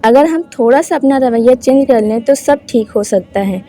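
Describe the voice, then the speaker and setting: A woman host giving an informal religious talk to viewers, explaining haltingly and correcting herself as she goes. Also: fairly high pitch, warm tone. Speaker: host of Islamic advice channel.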